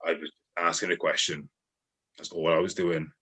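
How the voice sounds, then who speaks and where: A man's voice: deep voice, level tone, normal speed, sharp tone, slightly rough. A man hosting a casual interview podcast, dry and exasperated with his guest. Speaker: podcast host.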